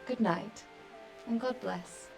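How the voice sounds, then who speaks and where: Creaky-voiced, melancholy young noblewoman. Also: mid-range voice, music in the background, rough recording. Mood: tender, resigned. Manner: measured, wistful, formal archaic speech. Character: melancholy young medieval noblewoman, wife of a lord. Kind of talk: dramatic video game acting.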